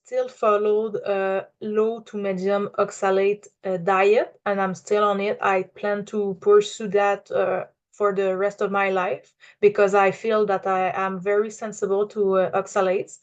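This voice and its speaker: A young woman in her twenties speaks English with a Quebec French accent, her native tongue showing as she searches for words. She talks in a flat, even tone, full of "uh" and "um" and small restarts. The audio is a little dull and lo-fi. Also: mid-range voice, a little slow. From Quebec, Canada. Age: 20s. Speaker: client giving a testimonial. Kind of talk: unscripted personal testimonial.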